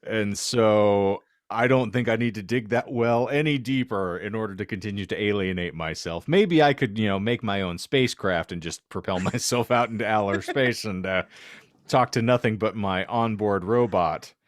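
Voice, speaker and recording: A man's voice: fairly low pitch, moderate pace, no room sound, studio quality. A man hosting a podcast, chatting comedically in a wordy, self-deprecating way. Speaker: podcast host. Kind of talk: casual comedic chat.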